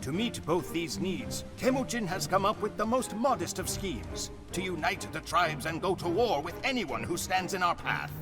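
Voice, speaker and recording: An actor playing a Mongol chronicler, narrating a game cutscene in a grave, measured storytelling manner. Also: male voice, high-pitched, big pitch swings. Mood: solemn. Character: male Mongol chronicler narrating war history. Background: music.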